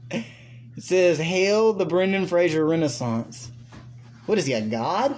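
A man co-hosting a casual podcast, joking, laughing and swearing a lot while high. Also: lively intonation, slow pace, darkish tone, a little hiss or hum. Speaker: podcast co-host. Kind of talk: casual crude chat.

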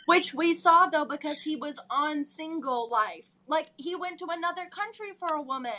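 A woman's voice: high-pitched, expressive, moderate pace, noisy background. A woman co-hosting a podcast in casual chat, chipping in with dry remarks. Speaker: podcast co-host.

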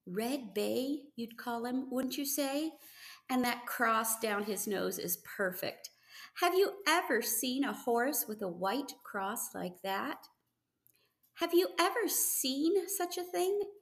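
A woman's voice: a little high, slow pace, voice pushed up. Woman voicing an eager young farm boy, roomy sound. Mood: thrilled. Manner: earnest, excitable, talkative. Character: eager thirteen-year-old farm boy, gentle and adoring.

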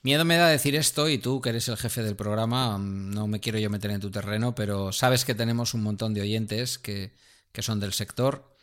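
A man from co-hosting a podcast in casual chat, talkative, playful and measured. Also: fairly low pitch, steady tone, fairly bright tone. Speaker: podcast co-host.

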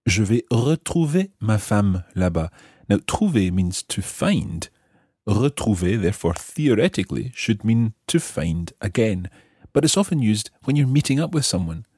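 A man hosting a scripted language lesson, explaining clearly and repeating phrases slowly and deliberately. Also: fairly low pitch, very animated, darkish tone, dry recording, studio quality. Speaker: language teacher and host.

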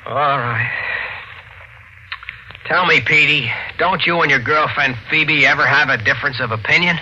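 An actor playing a desperate ventriloquist coming unhinged, anguished and melodramatic in a vintage radio mystery. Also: male voice, fairly high pitch, normal speed, bright, forceful tone. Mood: despondent. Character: desperate, unraveling understudy ventriloquist turned murderer. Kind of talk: 1940s radio mystery acting. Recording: muffled, very noisy vintage radio recording.

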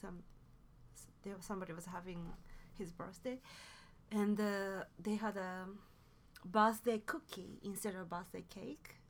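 A woman's voice: medium pitch, steady tone, a little slow, a little hiss or hum. A woman from Japan with a Japanese accent, a native Japanese speaker, telling stories as a podcast guest in a hesitant way, often correcting herself. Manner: hesitant, self-correcting, soft asides. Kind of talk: casual conversational storytelling.

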